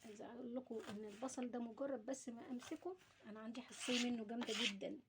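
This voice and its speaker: A woman's voice: a little high, fairly even intonation, very fast. A woman with an Egyptian Arabic accent giving a casual, chatty cooking demonstration, explaining things directly to her viewers. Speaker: home cooking vlogger. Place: at home while preparing food. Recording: clearly noisy home recording with clicks.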